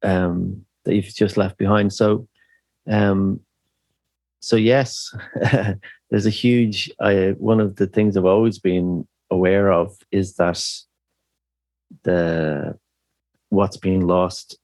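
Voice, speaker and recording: A middle-aged Irish man with a very deep voice talks slowly through his thoughts, stopping and restarting often with fillers. The sound is dry and close, with no room around it. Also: fairly even intonation, darkish tone, dry recording. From Ireland. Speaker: musician guest. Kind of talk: reflective podcast interview.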